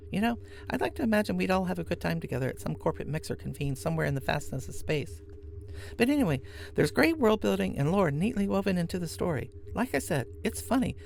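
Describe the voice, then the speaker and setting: A woman's voice: mid-range voice, gravelly, a little mellow, a little hiss or hum. A woman hosting a podcast who recommends a show in a chatty tone with wry asides. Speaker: podcast host. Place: workplace, on lunch break.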